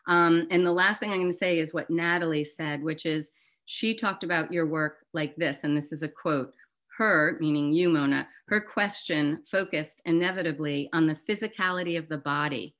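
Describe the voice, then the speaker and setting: A woman's voice: sits low, normal speed, fairly bright tone. A woman hosting an online book discussion in a casual, chatty and enthusiastic way. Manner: enthusiastic, informal. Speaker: host of an online photobook discussion. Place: online video call.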